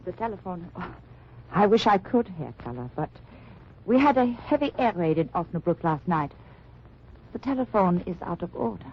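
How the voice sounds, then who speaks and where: An actress playing an evasive German housewife, polite and eager, in an old radio drama. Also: female voice, fairly low pitch, big pitch swings, normal speed, background noise. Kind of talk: 1940s-50s radio drama acting. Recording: dull old radio recording.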